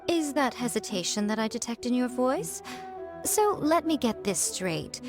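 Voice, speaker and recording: A woman voicing a sly, teasing shrine priestess in a video game, with an unhurried way of talking. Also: on the high side, sing-song, over music.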